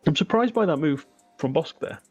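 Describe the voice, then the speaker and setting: A man's voice: fairly high pitch, lively intonation, fairly quick, darkish tone, breathy edge. A man co-hosting live commentary on a tabletop miniatures game, casual and dryly teasing in manner. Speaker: stream co-host and commentator. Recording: close dry mic with faint music.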